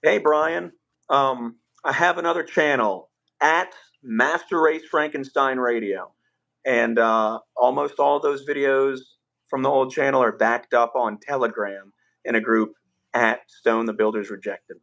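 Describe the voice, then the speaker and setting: A young man hosting a livestream, telling stories in a relaxed, rambling way with filler words, his voice a bit rough. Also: medium pitch, unhurried, lo-fi sound. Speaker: livestream host. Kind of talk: casual livestream chat.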